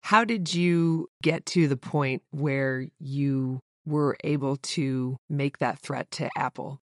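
A woman's voice: rumbling low, takes it slowly, no echo, studio quality. A woman hosting a podcast, reading prepared introductions and putting questions to a guest. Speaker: podcast host, interviewer.

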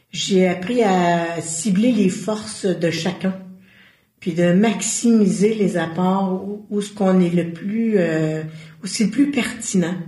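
A woman's voice: fairly low pitch, darkish tone. A woman with a Québécois French accent, a guest in an interview, talking earnestly and searching for her words as she goes. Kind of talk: casual conversational interview. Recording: roomy echo.

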